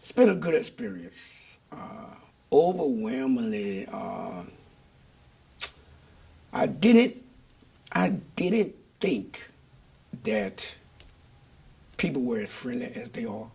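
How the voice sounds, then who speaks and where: An older man gives reflective interview answers. His voice is very husky with a gravelly fry, and he speaks in a deliberate, measured way. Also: mid-range voice, very animated, dark tone.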